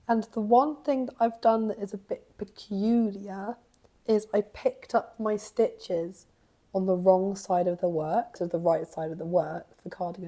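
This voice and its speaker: Croaky young woman vlogging. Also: medium pitch, warm tone. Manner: thinking aloud, chatty and rambling. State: croaky voice from a cough. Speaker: knitting vlogger. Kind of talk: casual vlog chat.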